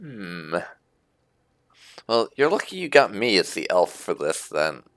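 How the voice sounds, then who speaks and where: A man's voice: deep voice, sing-song, takes it slowly, a bit bright. A man giving casual in-character roleplay commentary on a video game, musing wryly with muttered asides. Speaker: gaming channel host.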